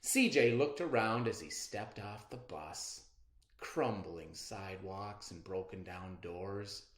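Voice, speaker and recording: Children's read-aloud narrator: expressive, widely varied pitch, slow pacing, roomy echo. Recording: roomy, echoing sound.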